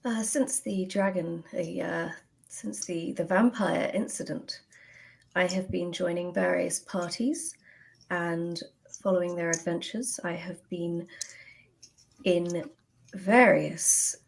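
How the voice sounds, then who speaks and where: A woman playing a self-important, high-minded adventurer who speaks formally, in a tabletop roleplaying game. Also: fairly even intonation, slow, creaky, rough recording. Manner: formal, pompous.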